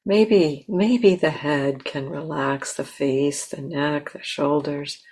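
A woman leads a guided meditation in a low voice. She speaks very slowly and gently, setting down short phrases and leaving long, unhurried pauses between them. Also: steady tone, husky. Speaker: meditation teacher.

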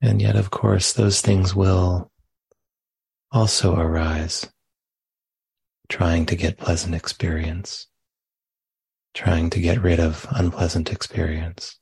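A man guides a meditation in a deep, creaky voice, recorded dry and close. He speaks gently and very slowly, offering short phrases and leaving space between them. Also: flat delivery, no echo. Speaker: meditation teacher. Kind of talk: guided meditation, spoken live.

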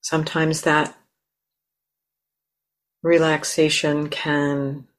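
Slow, gentle woman guiding meditation. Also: deep voice, flat delivery. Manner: gentle, unhurried, with long pauses. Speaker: meditation teacher. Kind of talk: guided meditation.

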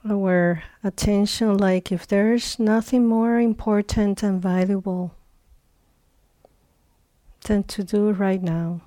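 A woman leads a guided meditation in a soft-edged, mellow voice. She speaks very slowly, leaving long pauses between short phrases. The sound is close and dry. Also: medium pitch, steady tone, no echo. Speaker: meditation teacher.

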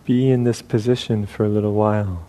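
A man leading a guided meditation as a teacher, speaking gently and unhurriedly with long pauses. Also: fairly low pitch, steady tone.

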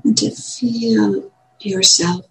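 A woman meditation teacher guiding a meditation in gentle, measured short phrases with long pauses. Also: medium pitch, steady tone, rough recording. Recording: clear background noise, room ambience.

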